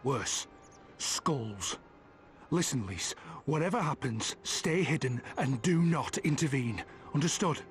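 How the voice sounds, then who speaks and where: An actor playing a wary, pragmatic resistance fighter in a video game, speaking in a grave, measured, firm way. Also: male voice, mid-range voice, expressive, soft background music. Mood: hushed, wary. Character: wary, pragmatic male resistance companion, grimly cautious. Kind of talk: video game cutscene voice acting.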